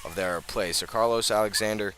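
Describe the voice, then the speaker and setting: A man doing live radio play-by-play of high school football, often halting and correcting himself as he goes. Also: steady tone, noisy background. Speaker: play-by-play sports announcer.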